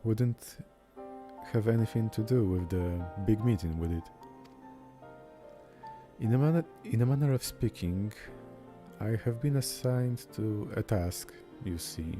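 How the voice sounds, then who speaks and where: Deep-voiced man reading game dialogue aloud. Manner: stumbles over words and repeats them. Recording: music behind the voice.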